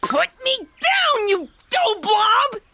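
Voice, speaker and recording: A woman's voice: very high-pitched, big pitch swings, drawn-out words, noisy background, full character voice. A woman voicing a cheeky, boastful little trickster creature in a cartoon game, teasing and theatrical with a singsong delivery. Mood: indignant.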